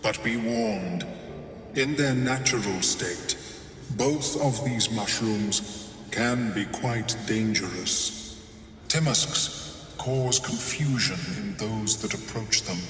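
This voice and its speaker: A calm, learned mind flayer in a game, laying out each thought slowly and precisely. His tone is bright, set in a roomy space with a long echo trailing behind. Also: on the low side, faint music behind. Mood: explaining. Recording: echoey reverberant room.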